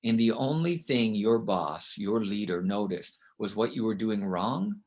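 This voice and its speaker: A middle-aged man from Canada with a loud voice, speaking as a guest in an interview. He is emphatic and tells stories, and he often ends his points with a quick "right?". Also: fairly low pitch, expressive. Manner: emphatic, storytelling, with frequent "right?" tags. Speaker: guest author and consultant. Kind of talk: conversational interview.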